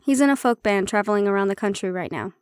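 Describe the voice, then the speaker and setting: A woman's voice: medium pitch, lively intonation, fast, clean tone, no room sound, clean studio sound, natural voice. A woman playing a prickly, guarded banshee-siren hybrid in an intimate audio roleplay, explaining herself conversationally with some teasing. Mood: candid, wry.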